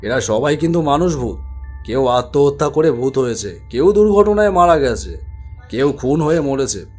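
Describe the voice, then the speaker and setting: A man's voice: medium pitch, lively intonation, normal speed, darkish tone, soft background music. A man narrating a spooky cartoon story in a dramatic, suspenseful way.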